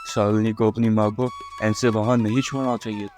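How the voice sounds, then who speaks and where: A man's voice: lowish voice, little pitch movement, moderate pace, a little mellow, close to an everyday voice. Man playing a mild, practical grown son. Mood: concerned. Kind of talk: scripted moral story cartoon dialogue. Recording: music behind the voice.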